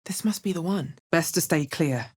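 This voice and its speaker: A low, husky fantasy heroine for a video game. She is wary and understated, with dry, measured delivery in short character lines. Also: a little mellow. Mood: wary, observant. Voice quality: deep, husky.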